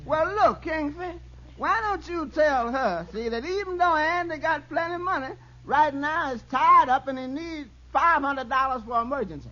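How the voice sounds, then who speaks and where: A shifty, wisecracking crony with a piercing, forceful voice that leaps around animatedly. He spins folksy tall tales in old radio sitcom style, on a dull vintage broadcast. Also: extremely high pitch, animated, moderate pace, full character voice. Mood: shifty advising. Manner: folksy, ungrammatical, joke-telling delivery. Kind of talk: 1950s radio sitcom acting.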